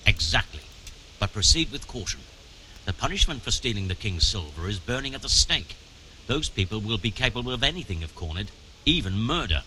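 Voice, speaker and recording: An actor playing a stern, middle-aged medieval mining chief in a video game, brusque and commanding, with formal wording. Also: male voice, on the low side, sing-song, brisk, pushed and bright, slight background noise, full character voice, rough recording. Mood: firm, warning. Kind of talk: scripted video game dialogue. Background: music.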